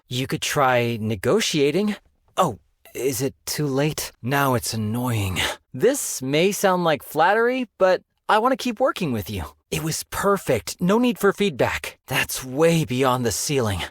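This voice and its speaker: An actor playing a sly young male stockbroker in a game, polite and businesslike with a quiet menace. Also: medium pitch, no room sound, studio quality. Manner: suave, polite yet menacing, business jargon. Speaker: game character voice lines. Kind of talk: studio game voice acting. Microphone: close studio mic.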